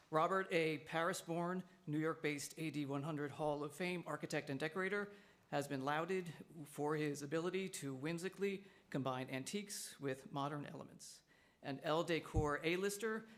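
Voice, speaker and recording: Man, somewhat high voice, formal read-aloud introduction, event host. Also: a bit bright. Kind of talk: reading a prepared introduction.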